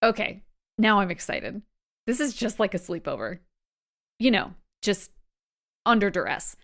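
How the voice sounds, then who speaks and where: Actress as earnest young woman, medium pitch. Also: big pitch swings, measured pace, a bit bright. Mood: excited. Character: earnest, excitable young female superhero fan. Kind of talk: audio drama acting.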